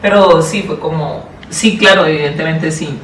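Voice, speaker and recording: A woman with a Colombian accent answering interview questions in a thoughtful, deliberate way, often repeating and rephrasing herself. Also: low-pitched. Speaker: interview guest, public education official. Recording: roomy, distant mic, faint noise.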